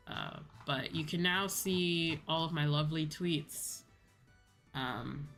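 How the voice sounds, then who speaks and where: A woman's voice: low-pitched, gravelly, fairly bright tone. A woman chatting casually and in a rambling way with viewers on a livestream. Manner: rambling, conversational, casual swearing. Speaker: VTuber livestreamer. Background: background music.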